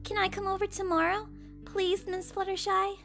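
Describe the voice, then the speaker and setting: A woman's voice: extremely high pitch, flat delivery, normal speed, gravelly, a bit bright, faint music behind, heavily acted. A woman voicing a small pink filly, a little sister with a childlike, pleading voice. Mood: pleading, eager.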